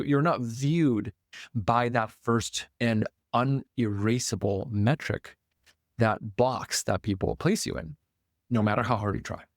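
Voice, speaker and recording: A man's voice: mid-range voice, no room sound, studio quality. A man born in Taiwan, hosting a solo podcast in a casual, conversational way with many fillers and restarts. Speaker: podcast host and author.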